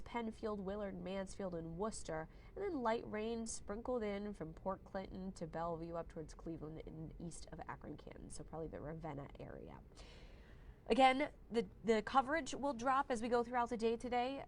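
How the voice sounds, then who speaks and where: A young adult woman meteorologist giving a friendly, conversational live weather forecast while fighting a cold, now and then clearing her throat. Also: fairly low pitch, a little hiss or hum. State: has a cold, slightly hoarse.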